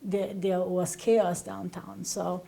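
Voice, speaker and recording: A woman's voice: on the low side, flat delivery, takes it slowly, vocal fry, warm tone. A woman in her thirties from Haiti, telling personal stories conversationally as an interview guest.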